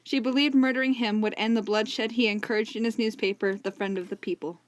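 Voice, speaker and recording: Higher-pitched woman narrating history. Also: fairly even intonation, a little fast, heavy background noise. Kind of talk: scripted history narration for a puppet show.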